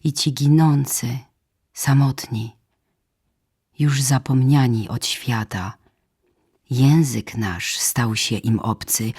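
Slow, husky woman reciting poetry. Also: extremely low pitch, a little mellow. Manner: slow, deliberate phrasing in short measured lines. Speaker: poetry reader. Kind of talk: solemn dramatic poetry recitation, audiobook. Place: radio theatre studio.

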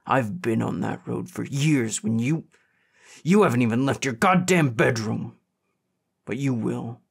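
Male narrator as angry teenage boy, gravelly, snarling, demanding, hardened, audiobook character. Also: mid-range voice, unhurried. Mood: bitter.

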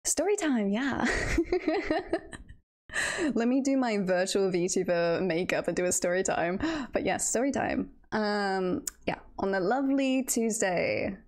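Slow-paced woman chatting on stream. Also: mid-range voice, expressive, a little mellow. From United Kingdom. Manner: giggly, self-deprecating, halting. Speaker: livestreamer, VTuber host. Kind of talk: casual livestream chat, storytelling.

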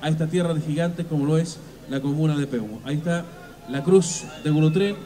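A man emceeing a festival on an outdoor stage, talking into a handheld mic through the PA with emphatic, drawn-out phrasing. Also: fairly high pitch. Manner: emphatic, drawn-out announcer delivery with repeated fillers. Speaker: event MC. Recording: live PA sound with loud crowd.

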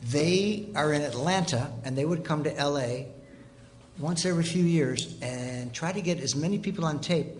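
A man's voice: fairly high pitch, normal speed. A man in his sixties telling anecdotes casually as a guest author on a stage panel. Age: 60s. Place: theatre stage, indoors. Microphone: table microphone on a stand. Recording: live stage pickup, faint noise.

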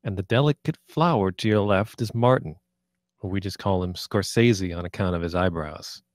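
A man's voice: sits low, normal speed, no room sound, studio quality, close to an everyday voice. A man playing a crude, domineering gambler who runs a poker table, curt and commanding, in a script table read. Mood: mocking, commanding.

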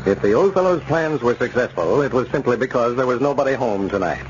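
A male radio announcer from the golden age of broadcasting, with lively swooping intonation. He sounds polished and persuasive, like a sponsor's spokesman. The recording is dull and slightly noisy. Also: medium pitch, fairly quick.

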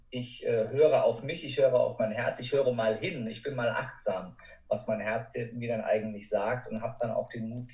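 A man's voice: medium pitch, darkish tone. A man speaking as a coach and interview guest, earnest and motivational in a conversational way, repeating for emphasis. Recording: roomy echo, distant from mic.

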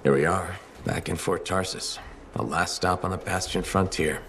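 An actor playing a wry, easygoing armour pilot hero in a video game. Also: male voice, low-pitched, steady tone, moderate pace, fairly bright tone, a little hiss or hum. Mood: weary, reflective.

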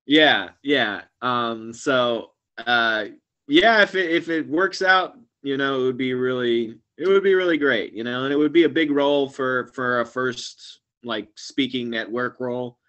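A man in his forties, a guest actor and comedian, chatting casually over a webcam call from a small room at home, rambling and trailing off quietly at the ends of phrases. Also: medium pitch, measured pace, dull audio. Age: 40s. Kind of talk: casual video-call chat. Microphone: webcam video call.

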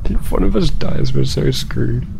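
A man's voice: medium pitch, animated, measured pace, gravelly, background noise. A young man streaming a game with casual, sweary, teasing banter on a desk microphone indoors. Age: young adult. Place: indoor room at home. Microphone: desk microphone on arm, headset.

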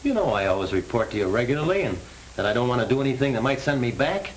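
An actor playing a determined young parolee out to clear his name in a 1930s crime film. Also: medium pitch, lively intonation, fast, a little hiss or hum, close to an everyday voice, low-quality recording. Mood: earnest, apologetic. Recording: old 1930s film soundtrack, music bleeding in.

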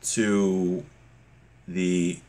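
A middle-aged man giving an informal religious lesson, explaining with examples in a conversational, somewhat halting way. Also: low-pitched, little pitch movement, warm tone. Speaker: religious teacher. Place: small home room, indoors. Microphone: webcam or laptop mic at desk distance.

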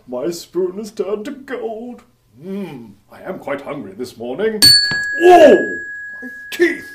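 A theatrical old king whose voice swoops from hushed to wailing, as a performer acts him out. He speaks slowly and dramatically. An echoey room surrounds him. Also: medium pitch, background noise. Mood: baffled. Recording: roomy echo.

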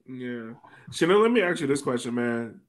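A man's voice: mid-range voice, expressive, normal speed, rough recording. A man hosting a casual sports podcast, chatting with his panel over a video call. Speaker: podcast host. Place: indoor room on a video call.

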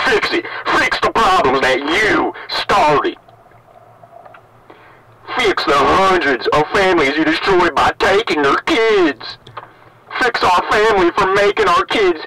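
Man as distraught father, found-footage horror, high-pitched, slow accusing delivery, old tape with static. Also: exaggerated voice.

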